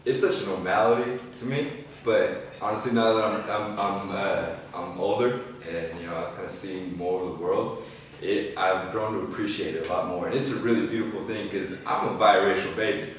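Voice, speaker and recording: A man's voice: low voice, fairly even intonation, a little hiss or hum. A man talking earnestly about family in a casual group podcast, stumbling over some starts. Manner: earnest, warm-hearted, halting starts. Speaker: podcast co-host. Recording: echoey room from far off.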